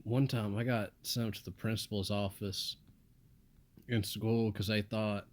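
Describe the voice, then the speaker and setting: Monotone, mumbling young man. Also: fairly low pitch, measured pace. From United States. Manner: monotone, flat delivery with mumbled asides. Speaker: vlogger. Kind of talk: casual rambling monologue.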